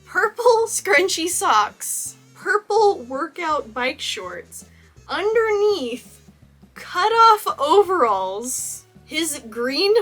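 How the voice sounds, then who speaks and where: A young woman from Texas talks through a film's costumes in a casual, enthusiastic way, laughing often. Her voice sits a bit high and sounds bright and forward. Soft music plays faintly beneath her. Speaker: cosplayer and video host. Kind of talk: casual movie costume analysis.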